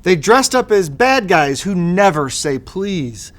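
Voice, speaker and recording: High-voiced man reading a children's storybook. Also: takes it slowly. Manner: expressive, sing-song rhyming delivery. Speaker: school superintendent reading to children.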